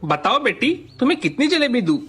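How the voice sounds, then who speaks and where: A woman voicing a greedy, bullying male sweet-shop owner in a cartoon story, with a gruff, threatening manner and a much deeper put-on voice. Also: big pitch swings, a little fast, soft background music. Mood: coaxing.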